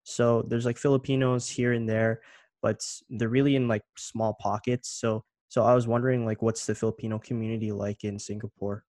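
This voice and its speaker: A young man from Canada hosts a casual interview, easygoing and a little halting, feeling his way through questions with "um"s and restarts. His voice sits at an ordinary middle pitch in a dry, close recording. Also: no room sound. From Canada (Ontario). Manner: relaxed, hesitant, with many "um"s and restarts. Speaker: interview host.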